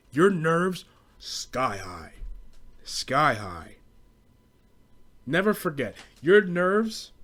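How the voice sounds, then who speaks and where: A young American man rambling through a casual, profane comedic rant as a podcast host, in slangy speech. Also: fairly high pitch. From southeastern Pennsylvania, US.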